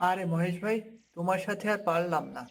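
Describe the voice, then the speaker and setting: Very high-voiced friendly farmer man acting. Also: fairly even intonation, a little fast. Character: friendly, chatty village farmer neighbour. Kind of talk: cartoon fairy-tale dialogue acting.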